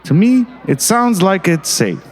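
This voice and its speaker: A man's voice: high-pitched, big pitch swings, a little slow, exaggerated voice. A man playing a pompous, condescending small-town mayor in an audio drama. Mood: placating. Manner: smooth-talking, condescending. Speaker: voice actor.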